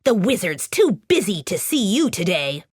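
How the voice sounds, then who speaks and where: A woman's voice: medium pitch, big pitch swings, moderate pace, breathy edge, dry recording, clean studio sound. A woman voicing a wicked, dismissive witch in a children's storybook, commanding and curt. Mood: scornful.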